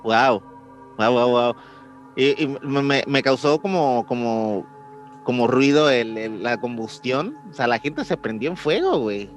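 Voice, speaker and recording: A man's voice: mid-range voice, expressive, unhurried, soft background music. A man with a Mexican Spanish accent co-hosting a podcast, mostly reacting with short agreements. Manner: brief reactions, back-channel agreement. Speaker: podcast co-host. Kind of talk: casual chat.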